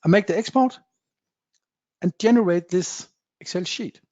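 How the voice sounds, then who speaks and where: Man giving a software demo. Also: high-pitched, unhurried, no room sound. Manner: plain explanatory talk with frequent uh fillers, non-native phrasing. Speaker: software presenter. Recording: dull dry webinar audio.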